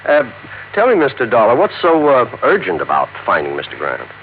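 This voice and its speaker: An American actor playing a fussy, hesitant, polite apartment manager in a 1950s radio drama. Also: male voice, medium pitch, wildly swooping pitch, a little fast. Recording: tinny, noisy old radio recording.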